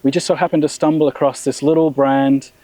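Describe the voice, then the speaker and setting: A middle-aged man, a brand founder, chatting in a relaxed interview with many restarts and fillers. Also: fairly high pitch, fairly quick, no room sound, poor audio. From Australia. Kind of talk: casual interview.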